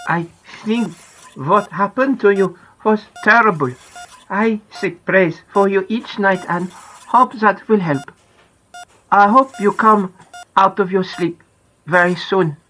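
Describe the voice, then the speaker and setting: Shy quiet girl, slight foreign accent, soft earnest delivery, bright tone, read by a narrator. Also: medium pitch, slow, poor audio.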